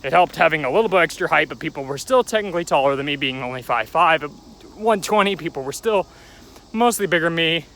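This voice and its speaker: A man's voice: on the high side, lively intonation, fast, a bit bright, poor audio. A young man telling his life story in a rambling, chatty vlog, recorded outdoors near a railway. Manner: rambling, chatty, joking aside at passing trains. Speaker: vlogger.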